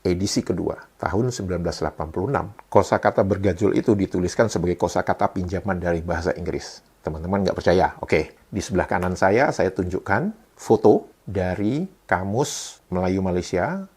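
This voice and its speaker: A man's voice: sits low, brisk, a little mellow, husky. A man with an Indonesian accent giving casual explanatory commentary, talking straight to viewers and posing rhetorical questions. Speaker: video host and commentator.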